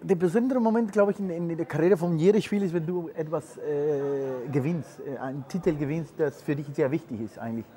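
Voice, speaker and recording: Brief: man in his forties from Peru, retired footballer as guest, searching for words, slightly higher voice. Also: a little fast, hardly any pauses, dark tone. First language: Spanish. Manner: hesitant non-native German with many fillers. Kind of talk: casual interview answers.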